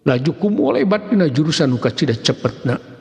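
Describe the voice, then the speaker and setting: A man's voice: a little high, sing-song, fairly quick, gravelly. A man with a Sundanese accent narrates a folk tale as a dramatic storyteller. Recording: long hall-like reverb.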